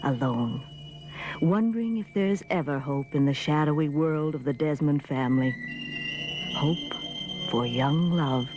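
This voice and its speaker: A man announcing a 1960s soap opera in a slow, hushed manner, heard on an old TV soundtrack with organ music. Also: a little high. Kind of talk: dramatic soap opera narration.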